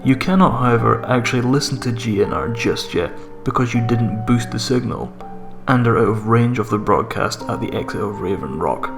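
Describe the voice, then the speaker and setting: A man with a mid-pitched, slightly gravelly voice narrates a scripted horror tale. His reading is measured and deliberate. Music plays faintly behind him. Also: lively intonation. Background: background music.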